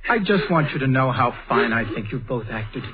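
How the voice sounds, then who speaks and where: A man's voice: medium pitch, lively intonation, moderate pace, a little hiss or hum, close to an everyday voice. An actor playing an earnest, impulsive young man in a vintage radio drama, clipped and theatrical. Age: young adult. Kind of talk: 1930s radio acting. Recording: tinny old radio broadcast.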